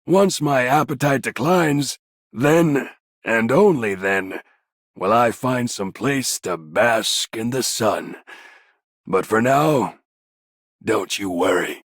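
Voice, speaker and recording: An easygoing old veteran for a game. He talks slowly in a husky, mid-pitched voice, hearty and unhurried, recorded close and dry. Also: animated, dry recording, exaggerated voice. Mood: good-humored. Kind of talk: scripted game voice lines.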